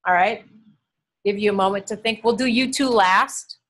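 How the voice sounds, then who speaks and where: Husky woman teaching a class. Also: medium pitch, normal speed. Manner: friendly, animated, gestures with hands. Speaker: seminary instructor. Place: large classroom with drop ceiling. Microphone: camera on the table, below the speaker.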